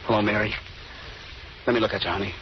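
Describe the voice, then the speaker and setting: An actor playing a ruthless, cocky gangster boss in an old radio drama, with clipped, slangy tough talk. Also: male voice, lowish voice, steady tone, fast, lightly acted. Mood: possessive, sure. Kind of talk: 1930s-40s radio drama acting. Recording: noisy dull old radio recording.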